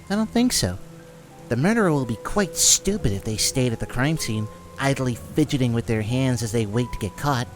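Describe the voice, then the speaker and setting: A man voicing a sharp, sarcastic tiefling investigator in an audio drama, reasoning in a matter-of-fact way. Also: medium pitch, lightly acted.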